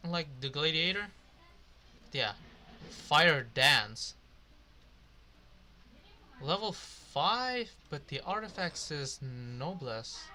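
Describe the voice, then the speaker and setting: A man's voice: on the high side, very animated, drawn-out words, long pauses, bright, forceful tone, a little hiss or hum. A man doing casual, rambling gameplay commentary, swearing now and then. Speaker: video game streamer.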